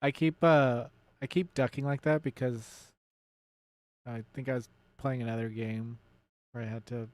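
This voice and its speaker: Male gaming streamer, medium pitch, nerdy and casual, rambling live commentary with loose swearing, very dry sound. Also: steady tone, measured pace, no echo. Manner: self-described nerdy enthusiast. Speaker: game streamer hosting a let's play.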